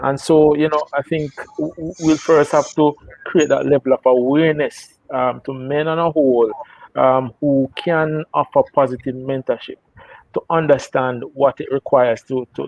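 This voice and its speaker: A man, a guest on a radio talk show, explaining a mentoring programme in a measured way with frequent filler sounds and restarts. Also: medium pitch, darkish tone, no room sound, low-quality recording. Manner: measured, many fillers and restarts, rises in emphasis. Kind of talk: radio interview answer.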